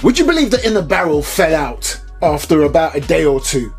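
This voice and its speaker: A man's voice: fairly high pitch, big pitch swings, fairly quick, over music. A British man reviewing airsoft gear as he unboxes it, chatty and jokey, exclaiming over each detail.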